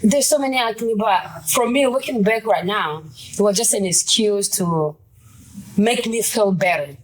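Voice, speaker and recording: A woman from Congo, a talk show guest speaking in a relaxed, personal way with hesitant fillers. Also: medium pitch, a little slow, rough recording. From Democratic Republic of Congo. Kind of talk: casual talk show discussion.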